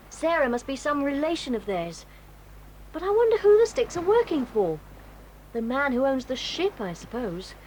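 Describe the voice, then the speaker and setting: A sensible take-charge child. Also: female voice, high-pitched, a little hiss or hum, rough recording. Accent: British.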